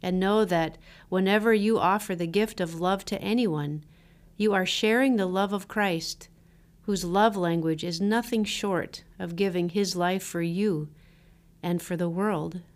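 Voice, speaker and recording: A woman pastor reads scripture and a reflection in a scripted devotional style, with measured, deliberate phrasing. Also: lowish voice, fairly even intonation.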